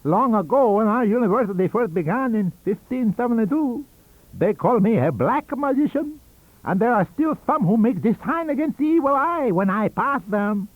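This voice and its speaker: A man voicing a cunning, wheezy old foreign scientist-magician in an audiobook, with a theatrical, halting and emphatic delivery. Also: extremely high pitch, lively intonation, dry recording, pitched above the performer's own voice. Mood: wheedling, boastful. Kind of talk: audiobook character reading. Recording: dull lo-fi audio cassette.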